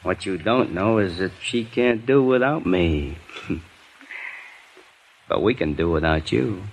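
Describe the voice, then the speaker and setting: An actor playing a menacing hired gunman working as a chauffeur, curt and gruff, in a 1950s radio drama. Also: low voice, lively intonation, slow pace, a little hiss or hum, heavily acted, poor audio.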